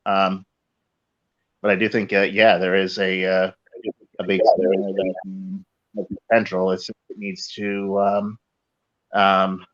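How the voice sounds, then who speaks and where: A man in casual podcast discussion, speaking haltingly and hesitantly as he searches for words. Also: sits low, completely flat delivery, a bit bright. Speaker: podcast guest. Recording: dull lo-fi connection.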